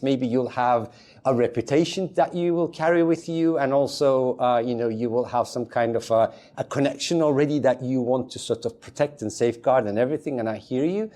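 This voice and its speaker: Rambling man from Turkey, mellow voice. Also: mid-range voice. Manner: rambling, frequent fillers and restarts. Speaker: podcast guest, community advisor. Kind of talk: casual chat.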